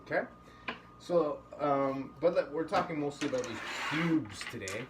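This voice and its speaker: A man's voice: a little high, animated, unhurried. A middle-aged man teaching a casual puppetry workshop at a table, easygoing and encouraging, often rambling. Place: indoor studio room at a table. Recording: slightly distant mic, faint room hum.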